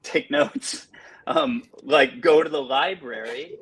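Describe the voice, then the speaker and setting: A man's voice: mid-range voice, slow, a bit bright, breathy edge, poor audio. A man in his early forties hosting a casual podcast chat, rambling with many restarts and fillers. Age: 40s. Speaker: podcast host.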